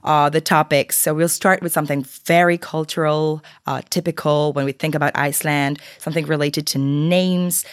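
A woman's voice: low-pitched, steady tone, normal speed, hardly any pauses, clear, resonant tone, dry recording, clean studio sound. A woman hosting a podcast interview in a chatty, partly scripted style, a bit sick. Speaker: podcast host.

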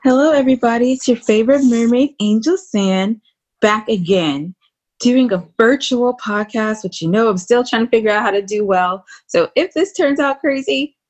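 A woman's voice: on the high side, moderate pace, a little mellow. A woman hosting a casual podcast interview, talking in an informal, flirtatious and teasing way. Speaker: podcast host.

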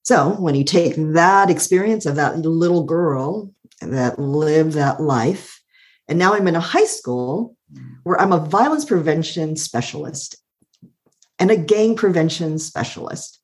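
A middle-aged woman with a calm, soothing voice, thoughtfully telling her life story as an interview guest and laughing often. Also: low-pitched, unhurried. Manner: warm, thoughtful, laughs often, uses "like" a lot. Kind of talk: reflective personal storytelling.

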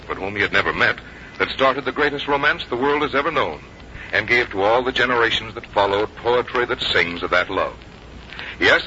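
A man reading scripted 1950s radio sponsor narration as an announcer, polished, earnest and measured. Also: lowish voice, sharp tone. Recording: muffled, hissy 1950s radio recording.

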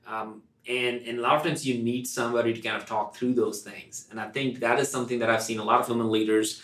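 Roomy echo, man, mid-range pitch, earnest and encouraging, casual podcast talk, emphatic delivery. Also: fairly even intonation, fast, a bit bright. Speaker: podcast co-host. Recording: roomy, echoing sound.

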